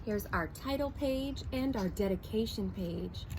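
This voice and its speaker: A woman teacher slowly reading a picture book aloud to first graders, clear and expressive. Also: medium pitch, noisy background. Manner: slow, clear, expressive storytelling. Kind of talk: read-aloud for young children.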